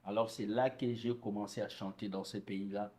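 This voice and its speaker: A man with a somewhat low voice talks through his past as a gospel singer in a relaxed, earnest way. He is from Congo and often restarts his sentences as he recalls details. His speech is casual and unhurried. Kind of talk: casual interview answers.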